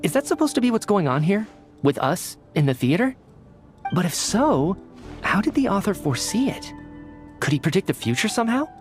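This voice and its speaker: An actor playing an earnest, careful young male researcher in a video game, speaking in a deliberate, articulate way as he reasons aloud. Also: high-pitched, very animated, soft background music. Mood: unnerved, puzzled. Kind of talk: anime-style video game cutscene acting.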